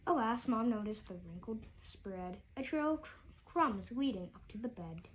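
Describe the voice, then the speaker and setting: A woman's voice: on the high side, expressive, normal speed, husky. A woman reading a rhyming children's story aloud, often stumbling and repeating words as she goes.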